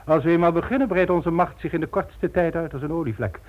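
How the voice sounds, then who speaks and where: An actor playing a megalomaniac, smugly polite criminal mastermind in a radio drama, making grandiose, condescending speeches. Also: male voice, a little high, quick pace, natural voice. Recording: dull lo-fi old radio recording.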